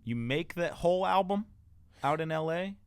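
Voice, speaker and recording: A man hosting a podcast, chatting casually and reminiscing with excitement, quick to jump in. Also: a little high, clean tone, no room sound. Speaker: podcast host. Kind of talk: casual interview chat.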